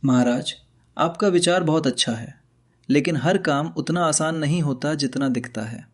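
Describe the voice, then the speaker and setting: Male actor as wise storybook court adviser, lightly raised pitch, polite, deliberate, patient. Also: natural voice. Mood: calm, cautious. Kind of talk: scripted children's moral-story cartoon dialogue.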